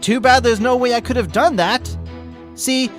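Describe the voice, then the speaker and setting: A man voicing a hyperactive, boastful sloth fitness instructor in a fan fiction reading, peppy and shouty. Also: very high-pitched, steady tone, moderate pace, soft background music, voice pushed up. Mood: boastful, energetic.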